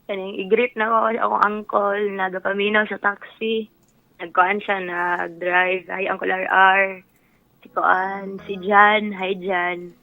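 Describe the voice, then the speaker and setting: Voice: mid-range voice, flat delivery, a little slow, creaky, sharp tone, no room sound, low-quality recording. A radio phone-in caller with a Bisaya Filipino accent, chatting casually and hesitantly, searching for words. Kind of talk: casual chat.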